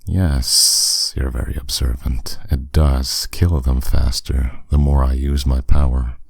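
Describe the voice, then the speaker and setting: A man playing a powerful, courteous demon captor in an ASMR roleplay, speaking with smooth, teasing, condescending authority. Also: extremely low pitch, fairly even intonation, no echo, studio quality, heavily acted. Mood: calm, matter-of-fact. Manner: smooth, condescending, unhurried, with endearments.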